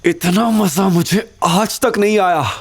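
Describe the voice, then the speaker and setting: A man's voice: high voice, slow pace, slightly rough, voice pushed up, exaggerated voice. A man voicing an arrogant, vengeful villain in an audio drama, gloating and giving orders. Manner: gloating, commanding. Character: vengeful, gloating male villain, arrogant city gang boss.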